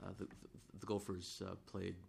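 A hoarse middle-aged man, a state senator who once chaired the finance committee, speaking deliberately in a legislative floor debate. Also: low voice, monotone, slight background noise. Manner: deliberate, supplementing a colleague's point.

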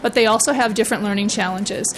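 A woman's voice: mid-range voice, quick pace, a little hiss or hum. A woman giving earnest, persuasive testimony before a legislative committee, speaking into a hearing-room microphone. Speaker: education advocate testifying.

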